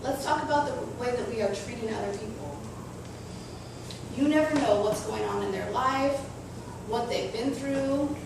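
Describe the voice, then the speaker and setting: A woman with a raspy voice giving an earnest personal talk to schoolchildren about making wise choices. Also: on the high side. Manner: earnest, slow and deliberate with children. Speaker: guest speaker to schoolchildren. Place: school hall. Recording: echoey room, distant mic, noisy.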